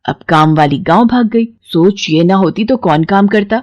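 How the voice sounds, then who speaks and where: A woman's voice: mid-range voice, normal speed, darkish tone, muffled recording, close to an everyday voice. A woman voicing a fussy middle-aged mother-in-law in a cartoon story, who complains and scolds. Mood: grumbling.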